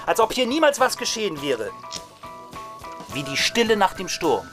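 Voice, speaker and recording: A woman's voice: medium pitch, big pitch swings, normal speed, over music, natural voice. An actress playing a cynical, foul-mouthed trapped woman in a radio play, swinging between flowery musing and bitter outbursts. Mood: musing, wistful. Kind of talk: German radio play monologue.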